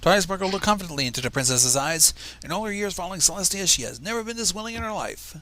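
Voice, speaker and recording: A man's voice: sits high, expressive, rapid-fire, sharp tone. A man hosting a reading of fanfiction, breaking off for sarcastic, profane asides. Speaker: fanfiction reader and livestream host. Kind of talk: dramatic reading with mocking asides.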